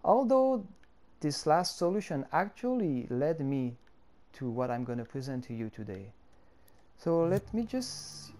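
A man's voice: mid-range voice, mellow, a little hiss or hum. A man whose first language is French, speaking English with a French accent and walking through a game-editor tutorial step by step. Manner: unhurried, explaining step by step with fillers. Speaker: tutorial creator.

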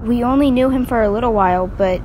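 A woman playing a gentle, concerned young friend in an amateur audio drama. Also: a little high, fast, natural voice. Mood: sympathetic. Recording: noisy, vehicle ambience.

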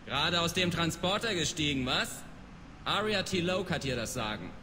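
An actor playing a dismissive alien mercenary guard in a video game, curt and contemptuous of humans. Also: male voice, a little high, expressive, normal speed, bright, forceful tone. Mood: scornful. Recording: reverberant game scene with clear background noise.

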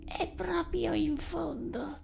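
A performer with a high-pitched put-on voice as a creepy, gentle, childlike cartoon creature, thin, whispery and overly polite. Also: fairly even intonation, takes it slowly, vocal fry, slight background noise, full character voice. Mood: pleading, grateful. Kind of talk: fan cartoon dub, slow eerie monologue.

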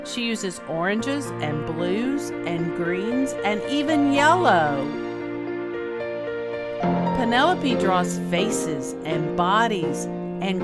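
A woman reading a children's story aloud as a storyteller, expressive and carefully enunciated, drawing out key words. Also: medium pitch. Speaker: storyteller, host. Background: music.

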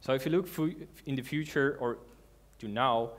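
Guy doing a conference talk in a big dark hall, earnest and kinda halting, lots of restarts. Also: medium pitch. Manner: earnest, halting, with restarts and non-native grammar. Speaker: conference speaker. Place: large dark hall with a seated audience.

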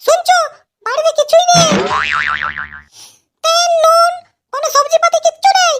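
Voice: extremely high pitch, fairly even intonation, normal speed, over loud music. A performer voicing a young married cartoon woman with an emphatic, coaxing manner in an exaggerated comic style. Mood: nagging. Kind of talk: exaggerated comedy cartoon voice acting.

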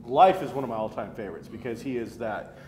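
An American man in his thirties hosting a casual geek culture chat, talking in an animated, chatty way. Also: mid-range voice, fast talker. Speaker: talk show host. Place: roomy indoor restaurant at a table. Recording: roomy echo, faint background noise.

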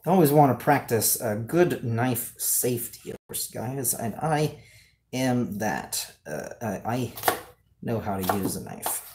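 A man chats casually while hosting a cooking livestream in a home kitchen. His delivery is off-the-cuff and jokey, with pitch that jumps around a lot. He is picked up by a camera on the counter, with some noise and clicking behind him. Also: animated, unhurried. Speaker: cooking show host. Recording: clearly noisy home kitchen livestream audio.

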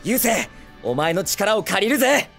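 A man voicing a brash, excitable teenage boy hero in an anime film, with cocky, eager, boyish speech. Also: high-pitched, measured pace, gravelly, soft background music, full character voice. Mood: fired up. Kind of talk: Japanese anime film acting.

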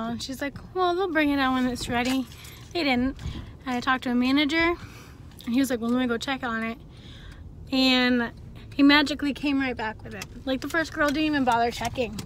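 A woman's voice: sits high, normal speed, a bit bright, a little hiss or hum. A woman in her thirties chatting to viewers about her delivery shifts, filmed on a phone held at arm's length in her car and then inside a restaurant. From USA. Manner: chatty, talks to viewers while driving and walking. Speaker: food delivery driver vlogger. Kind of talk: casual vlog chat.

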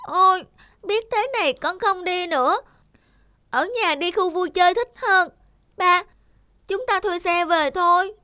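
A woman's voice: very high-pitched, unhurried, pauses often, bright, forceful tone, full character voice. A woman voicing a plaintive, childlike little boy in a cartoon. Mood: whiny. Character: spoiled, whiny little boy softening into remorse. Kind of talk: cartoon voice acting.

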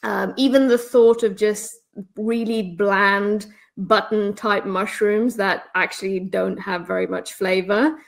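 A woman's voice: mid-range voice, slow, creaky. Middle-aged woman from Zambia, webinar guest on a home video call, easygoing and chatty, mid-range voice. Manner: relaxed, chatty, laughs easily. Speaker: guest speaker. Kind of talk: casual webinar chat. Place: home room, indoors.

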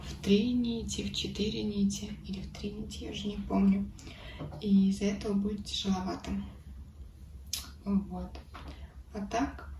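A slightly hoarse woman chats easily about her cross-stitch, now and then slipping into a near-whisper. She sounds a little distant from the mic, in an echoey room with a noisy background. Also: mid-range voice. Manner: chatty, drops into whispers, laughs softly. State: a bit hoarse. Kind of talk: casual chat showing needlework. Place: home, at a table.

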